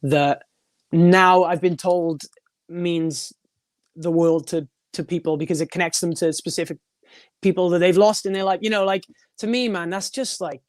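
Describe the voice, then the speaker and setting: Young guy, high voice, rambly musician chatting, dry close sound. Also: fairly even intonation, moderate pace, no room sound. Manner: rambling, many restarts and fillers, laughs often. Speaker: guest, band frontman and vocalist. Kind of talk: casual podcast interview.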